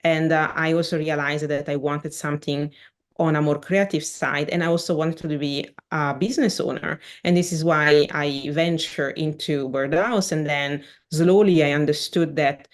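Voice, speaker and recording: A woman's voice: sits low, moderate pace. A woman who grew up in Switzerland, speaking English as a second language, earnestly describing her career as a podcast guest. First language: not English. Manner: earnest, animated, with frequent restarts and non-native grammar. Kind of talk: casual interview chat.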